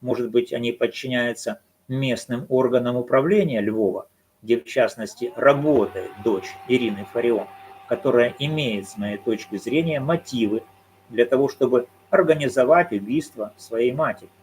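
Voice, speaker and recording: A man giving solo commentary on a court case, arguing his points in a measured, deliberate way and answering his own rhetorical questions. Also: medium pitch, a little mellow, a little hiss or hum, rough recording. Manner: argumentative, poses rhetorical questions and answers them.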